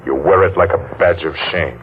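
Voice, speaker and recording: Very deep-voiced menacing avenger played by a man. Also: lightly acted. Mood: menacing, accusing. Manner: menacing, taunting, deliberate theatrical delivery. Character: masked, vengeful maimed survivor, taunting accuser. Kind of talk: old-time radio thriller acting. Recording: muffled, noisy old-time radio recording.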